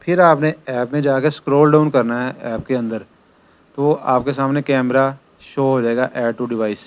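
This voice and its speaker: A man's voice: mid-range voice, fairly even intonation, unhurried, clear, resonant tone. A man giving an informal hands-on tutorial as an installer, explaining step by step and repeating his instructions.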